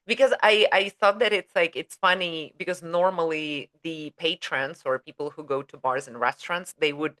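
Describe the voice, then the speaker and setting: A woman co-hosts a relaxed teaching podcast. Her voice sits on the lower side, and she keeps asking curious questions and reacting with short exclamations. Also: moderate pace, hardly any pauses. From Russia or Ukraine. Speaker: podcast co-host, ESL educator. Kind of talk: casual chat.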